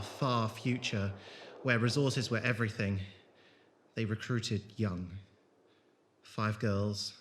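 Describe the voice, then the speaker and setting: Man acting a haunted, bright-voiced ex-soldier. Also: lowish voice, slight background noise. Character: haunted, grieving male former soldier, brisk leader of travellers. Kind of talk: audio drama acting.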